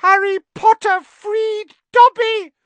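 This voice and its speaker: A man voicing a small, excitable male house elf with a shrill, squeaky voice, full of devotion. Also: slow, no room sound, heavily acted. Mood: eager. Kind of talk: audiobook character voice.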